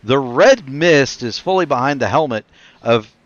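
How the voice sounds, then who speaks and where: A man's voice: medium pitch, no room sound. A man calling a sim race on dry lo-fi audio. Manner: rapid excited play-by-play, self-correcting. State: voice getting tired. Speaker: play-by-play commentator. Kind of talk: sim racing commentary.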